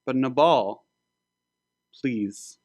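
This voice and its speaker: A man's voice: medium pitch, extremely slow. A man playing an ardent soldier in a biblical story, urgently pleading with his secret male lover.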